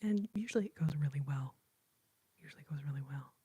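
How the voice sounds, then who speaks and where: Breathy whispering woman from Texas. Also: low voice, lively intonation, quick pace. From Austin, Texas. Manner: soft whisper, chatting with viewers, giggly. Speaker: ASMR livestream host. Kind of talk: whispered ASMR livestream chat.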